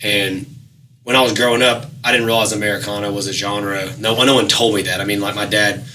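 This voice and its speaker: Raspy man with a bright, distant voice. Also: on the low side, brisk. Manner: relaxed, rambling, many fillers and restarts. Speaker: musician guest. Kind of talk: casual interview chat.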